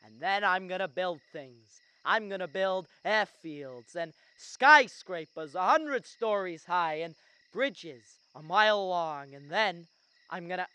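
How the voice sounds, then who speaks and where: Radio drama lead: young man as awkward, dreamy small-town suitor, bright, halting delivery, dry sound. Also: sits high, clean tone, no room sound, full character voice. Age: young adult. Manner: halting, stammering. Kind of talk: student radio drama acting.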